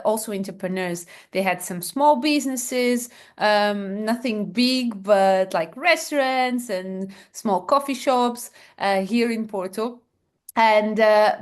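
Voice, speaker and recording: A woman from Portugal with a Portuguese accent, answering interview questions as a founder with many pauses, fillers and restarts. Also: medium pitch, clean tone. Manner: hesitant, many fillers and restarts, laughs softly. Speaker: founder guest.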